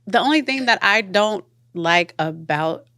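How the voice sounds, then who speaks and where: A woman's voice: mid-range voice, expressive, measured pace, pushed and bright, no room sound, studio quality. A woman hosting a podcast, giving blunt opinions in casual debate and repeating phrases for emphasis. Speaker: podcast host.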